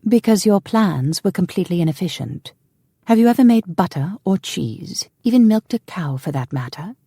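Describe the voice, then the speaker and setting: A woman voicing a small, composed noblewoman in a storybook, sharp-tongued and pointed. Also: fairly low pitch, animated, normal speed, slightly rough, no room sound, clean studio sound, pitched above the performer's own voice. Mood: cool, scornful. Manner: cool, pointed.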